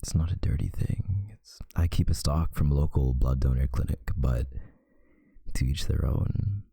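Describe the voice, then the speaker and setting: A man playing a tender, protective vampire lover in an intimate ASMR roleplay, speaking in a husky, hushed voice with deliberate pauses. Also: no room sound, clean studio sound, full character voice. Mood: soothing, patient. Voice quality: very deep, gravelly, husky, breathy, often near a whisper.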